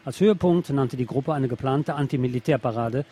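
A man's voice: medium pitch, a little fast, warm tone, slightly rough. A man reading scripted political news and commentary as a radio presenter.

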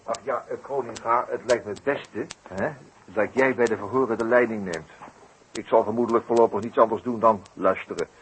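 An actor playing a wry, wordy detective with dry irony in a 1960s radio drama. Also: male voice, fairly low pitch, a little fast, natural voice, poor audio. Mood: dry, ironic. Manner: dryly ironic, wordy. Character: wry, unhurried detective helping the local police. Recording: old radio recording with hiss.

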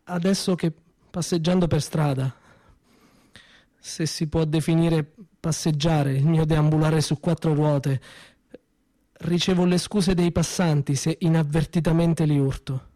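Actor as a sardonic, high-voiced injured workman. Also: fairly even intonation, measured pace, dark tone, lightly acted. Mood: bitter irony. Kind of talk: theatrical monologue in a radio play.

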